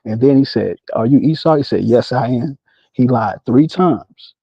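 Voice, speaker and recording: A man's voice: medium pitch, animated, moderate pace, vocal fry, husky, no echo. An American man calling into a casual debate, needling his opponent with challenging questions over a lo-fi online call.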